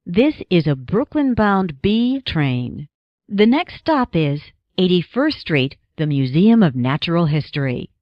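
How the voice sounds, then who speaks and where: An American woman reading scripted subway announcements as an automated announcer, in measured, segmented phrases. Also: fairly low pitch, sing-song, dry recording, dull audio.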